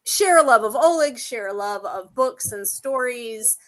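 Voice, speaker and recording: A woman speaks casually as part of a group discussion. Her voice is bright and forward, sitting a little higher than most women's. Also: expressive, a little slow.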